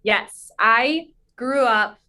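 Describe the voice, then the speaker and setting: Female podcast guest, charity advocate. Higher-pitched voice, casual conversational delivery. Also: drawn-out words, sharp tone. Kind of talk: casual interview chat.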